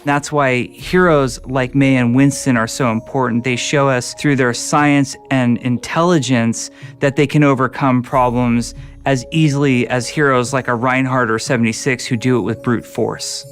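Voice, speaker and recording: A man's voice: mid-range voice, fairly even intonation, moderate pace, faint music behind. Man explaining in a documentary. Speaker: game developer interviewed. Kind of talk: documentary interview.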